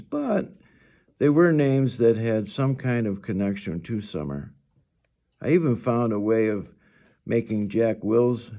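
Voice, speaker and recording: A man's voice: fairly low pitch, gravelly, dark tone. A man reading a children's novel aloud as a teacher would, narrating in the first person and sometimes repeating a phrase.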